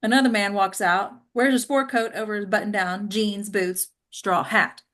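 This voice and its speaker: A woman's voice: mid-range voice, steady tone, moderate pace, a bit bright. A woman reading stage directions as scripted narration at a table read.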